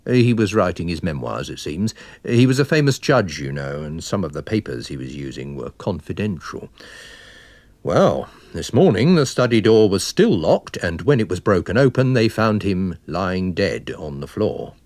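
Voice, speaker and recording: Man voicing a businesslike, low-pitched police inspector. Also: big pitch swings, moderate pace, a little mellow, no room sound. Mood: matter-of-fact. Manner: matter-of-fact, explanatory.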